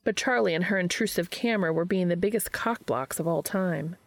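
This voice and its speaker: A woman reading fan fiction aloud as an expressive scripted story narration. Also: on the low side, brisk, no room sound.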